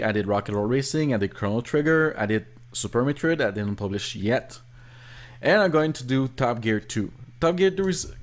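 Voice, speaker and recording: Quebecois french guy doing a chatty screen-recorded hacking tutorial, keeps correcting himself, medium pitch. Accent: Québécois French. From Quebec, Canada. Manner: rambling, self-correcting, casual. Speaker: ROM hacker. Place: home office.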